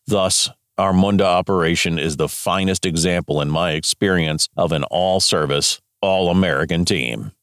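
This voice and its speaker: Male narrator, deep and formal, military dispatch read, close dry recording. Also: moderate pace, hardly any pauses, a bit bright, dry recording. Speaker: reader of wartime military dispatches.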